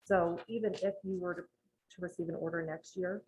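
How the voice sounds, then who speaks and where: A woman advising a town board on budgeting, explaining rules in a measured, methodical way with frequent ums, in a town meeting room. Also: lowish voice, steady tone, a little mellow, rough recording. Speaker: municipal finance advisor presenting to a town board.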